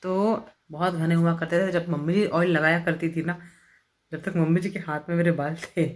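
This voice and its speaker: A woman's voice: lowish voice, fairly even intonation, normal speed, faint music behind. A woman vlogger chatting casually to camera at home, telling a story with lots of fillers. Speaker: vlogger, homemaker. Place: at home, seated with hair dryer.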